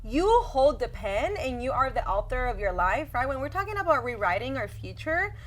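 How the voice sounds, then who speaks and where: A young woman hosts a relaxed podcast chat with a somewhat high voice. She talks encouragingly and keeps checking in with her guests, often ending thoughts with "right?". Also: moderate pace. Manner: warm encouraging, many "like" and "right?" tags. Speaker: podcast host. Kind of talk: casual heartfelt interview chat.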